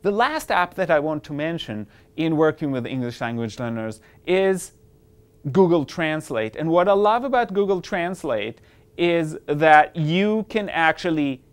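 A man's voice: a little high, sing-song, measured pace. A man in his forties whose first language is Hebrew, hosting an educational technology show and explaining apps to camera in a studio on a lapel mic. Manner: upbeat, clear explanatory delivery to camera. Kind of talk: presenting an instructional tech segment. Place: studio with a virtual office backdrop.

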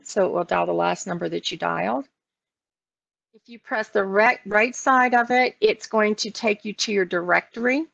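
A woman's voice: medium pitch, gravelly, fairly bright tone, no echo. An American woman working as a trainer, explaining things step by step in an instructional walkthrough. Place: online meeting screen-share, voice only. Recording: dull lo-fi online meeting audio.

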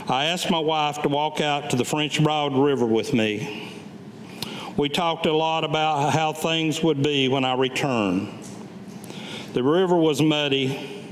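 An older man around seventy reads his memoir at a lectern microphone, taking it very slowly and deliberately. His voice sits at a middle pitch and rings in an echoey hall. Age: older, about 70. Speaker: Vietnam veteran reading his own writing. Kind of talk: staged reading of a written memoir. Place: public meeting room with audience, indoors. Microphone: gooseneck lectern microphone. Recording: echoey hall, background room noise.

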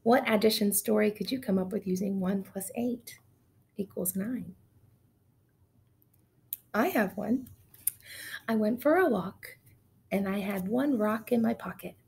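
A woman's voice: mid-range voice, measured pace, gravelly, pauses often, darkish tone. A woman in her thirties teaching an online class, counting beats and reading a picture book aloud in an upbeat way, heard through a webcam in a small room. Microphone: webcam on video call.

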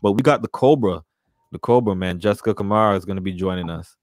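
A young man co-hosts a podcast on a big studio mic. His voice is on the low side and sounds dry and close, and he talks in a relaxed, easy way. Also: fast talker, dry recording. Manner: relaxed, says "man" often. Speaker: co-host. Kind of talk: podcast interview. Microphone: large studio mic with headphones.

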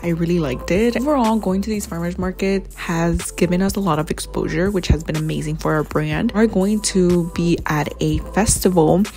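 A woman's voice: lowish voice, moderate pace, hardly any pauses, mellow, faint music behind. Upbeat chatty young woman vlogger. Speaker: vlogger and entrepreneur. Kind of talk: casual vlog to camera. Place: car, home and outdoor market. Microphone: phone camera held at arm's length.

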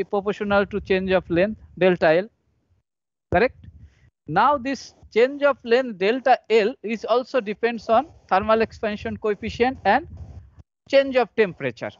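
High-pitched Indian man lecturing, dull audio. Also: dry recording. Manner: repetitive, halting step-by-step explanation. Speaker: engineering professor. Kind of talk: live online lecture, explaining equations. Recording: dull lo-fi online call audio.

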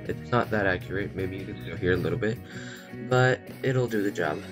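A man giving a casual software tutorial as its host, in a poor home recording. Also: low-pitched, a little fast. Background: plucked guitar music.

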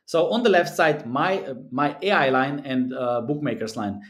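A man's voice: medium pitch, moderate pace. European man. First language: a South Slavic language. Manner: earnest, repetitive, talks through numbers with many fillers. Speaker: sports betting analyst. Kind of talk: screen-recorded commentary. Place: small room indoors.

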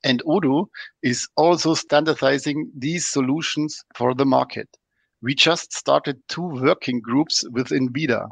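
A man's voice: medium pitch, a little slow, vocal fry, no echo. A man, a podcast guest explaining products in a methodical, technical way. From Germany.

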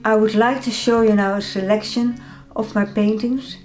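An older woman from the Netherlands with a Dutch accent, calmly explaining her own paintings in her studio. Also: mid-range voice, soft background music. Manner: calm, measured, slightly non-native phrasing. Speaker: artist. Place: home art studio, indoors.

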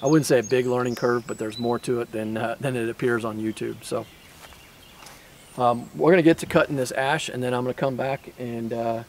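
A man's voice: medium pitch, steady tone, brisk. A man in his forties explains, with lots of fillers and restarts, why he chose his sawmill; he is recorded outdoors on a grassy lot. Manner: conversational, many fillers and restarts. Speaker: outdoors channel host. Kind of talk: casual product review talk. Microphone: camera on a tripod, medium framing. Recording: faint outdoor background noise.

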